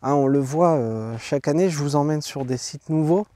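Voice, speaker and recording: A stuffy-nosed man narrates his walk around an outdoor site, his voice dark and mellow and a little raised. He wanders through his thoughts, stalling on fillers and restarting sentences, and the sound is dry and close. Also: on the high side, normal speed, no room sound. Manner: rambling, hesitant, many fillers and restarts. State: congested from a cold. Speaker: travel vlog host. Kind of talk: walking tour commentary.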